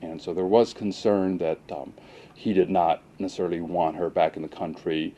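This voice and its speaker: A man speaking as a police lieutenant interviewed on the news, answering in a measured, cautious, hesitant way. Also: low-pitched, steady tone, warm tone, highs cut off. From Pakistan, moved to the US at age seven.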